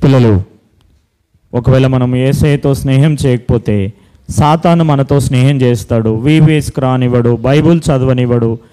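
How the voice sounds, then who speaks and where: A man's voice: medium pitch, moderate pace, dark tone, clean tone, rough recording. A man leading a children's Bible school, teaching and praying in simple, instructive phrases. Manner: instructing, repeats simple commands and questions. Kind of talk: preaching to children and leading prayer.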